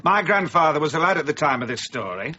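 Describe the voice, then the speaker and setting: A blunt, boastful tavern regular. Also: male voice, fairly high pitch, very animated, quick pace, sharp tone, slight background noise. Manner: blunt and gossipy. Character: blunt, boastful village drinker. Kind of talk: BBC radio play acting. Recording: slightly dull radio broadcast.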